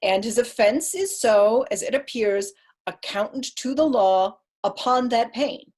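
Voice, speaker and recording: Woman playing a cold scheming judge in shakespeare, husky voice, formal n probing, keeps pushing. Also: a little high, a little slow, a little mellow. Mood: calculating, insistent. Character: cold, calculating male deputy judge, coercive and insinuating. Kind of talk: Shakespearean staged reading.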